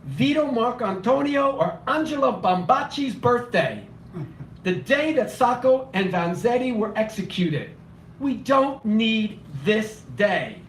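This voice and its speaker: A man reading his spoken word poetry at a cafe open mic, impassioned and declamatory, his voice slightly hoarse and weak. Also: very high-pitched, sing-song, takes it slowly, a little hiss or hum. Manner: impassioned, declamatory, rhythmic repetition. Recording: echoey room, voice from far off.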